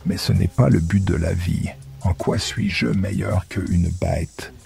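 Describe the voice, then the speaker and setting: A man voicing a weary old man who questions the purpose of his life, with a gravelly, slow, low delivery. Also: dark tone, soft background music, exaggerated voice. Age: older.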